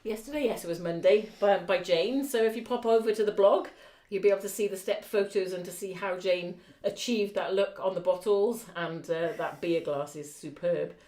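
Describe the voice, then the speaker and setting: A woman's voice: medium pitch, moderate pace, hardly any pauses, mellow. A woman co-hosting a casual live craft show, chatting in an encouraging, appreciative way. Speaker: co-host of a craft live stream. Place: indoor craft room. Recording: echoey room.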